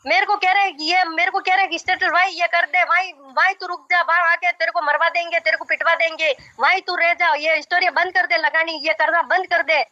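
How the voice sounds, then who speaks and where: Young woman, super high sharp voice, pushy and argumentative, echoey dull phone call recording. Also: steady tone, brisk, few pauses. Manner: insistent, repetitive, argumentative. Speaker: caller in a marriage dispute. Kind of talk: heated phone conversation.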